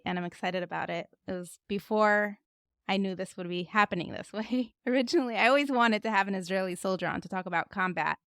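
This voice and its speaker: A woman's voice: mid-range voice, a bit bright, dry recording, clean studio sound. A woman hosting a podcast interview, chatty and informal, with asides to listeners. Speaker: podcast host. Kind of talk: podcast interview, intro and questions.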